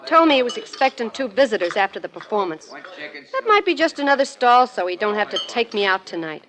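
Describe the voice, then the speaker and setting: A woman's voice: a little high, very animated, a little fast, fairly bright tone. An actress playing a hurt, lovesick young female magician's assistant in an earnest 1940s radio drama style. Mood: sad, resigned. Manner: earnest, aggrieved.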